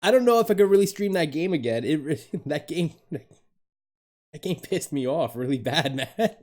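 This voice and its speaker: A man's voice: on the high side, animated, a little slow, darkish tone. Male streamer, casual, loose and swears easily, laughs a lot while chatting with viewers on a home desk mic. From Dominican Republic. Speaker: live streamer.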